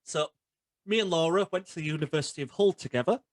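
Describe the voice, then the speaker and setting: A man's voice: fairly high pitch, fast, noisy background. A young man hosting a podcast, chatting casually and bantering informally with his guest. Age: young adult. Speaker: podcast host. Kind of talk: casual interview chat.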